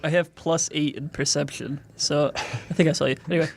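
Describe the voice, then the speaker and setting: A man chatting and role-playing casually as a player in a tabletop game, with halting, deadpan phrasing. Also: a little high, gravelly, a little hiss or hum. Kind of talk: casual improvised role-play and chat.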